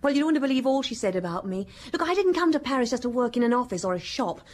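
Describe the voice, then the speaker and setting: A woman's voice: fairly high pitch, expressive, racing. An actress playing a haughty, hot-tempered, flippant young married woman of twenty-one in a radio drama, scornful and theatrical. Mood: defiant.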